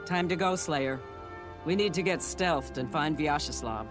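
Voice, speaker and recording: An actor playing a confident male assassin of a secret order in a fantasy video game, deliberate and coolly eager. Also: on the high side, close to an everyday voice. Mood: confident, approving. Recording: prominent music behind the voice.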